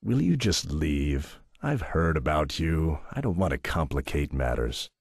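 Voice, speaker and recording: An actor playing a calm, pompous villain who poses as a self-help coach in a game dub, measured and condescending in manner. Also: male voice, very deep voice, vocal fry, slightly rough, no room sound, clean studio sound, heavily acted. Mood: cold, condescending. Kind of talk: scripted anime game dub acting. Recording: loud music behind the voice.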